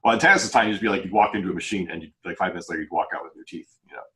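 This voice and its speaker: A man's voice: low voice, very fast, a crowd in the background. A man with a Canadian accent hosting a podcast in a casual, chatty way. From Saskatchewan, Canada. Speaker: podcast host, author. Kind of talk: casual chat.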